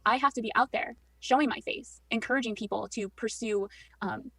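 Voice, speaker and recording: A woman speaks quickly and earnestly, reflecting on a guest with real feeling. She sits slightly back from the mic. The recording is dry and played back from a podcast file. She sometimes circles back and repeats her phrases. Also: a little high, gravelly, a bit bright, breathy edge, no room sound, far from the mic. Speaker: podcast co-host.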